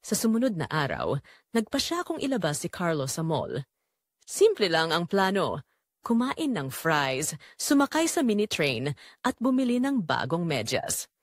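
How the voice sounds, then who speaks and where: Expressive woman narrating a dramatic story. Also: on the low side, normal speed, no room sound, studio quality. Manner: emotive, confessional storytelling. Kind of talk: dramatic scripted first-person narration.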